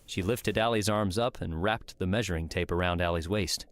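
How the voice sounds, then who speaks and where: A man's voice: low-pitched, normal speed. A man reading scripted story narration as an audiobook narrator.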